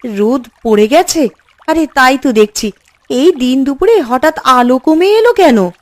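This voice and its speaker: A woman's voice: sits high, expressive, measured pace, no echo. A woman voicing a homely, bossy and fretful village mother in a cartoon. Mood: homely, curious. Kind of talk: cartoon character dialogue.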